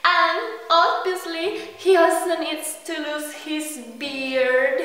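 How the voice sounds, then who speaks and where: Unhinged girlish clown villainess: squeaky-high, slow sing-song drawl, cutesy menace, roleplay video. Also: steady tone, pushed and bright, heavily acted. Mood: smug, playful. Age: young adult. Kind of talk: in-character roleplay addressed to the viewer. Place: echoey indoor rooms at home. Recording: echoey room with background music.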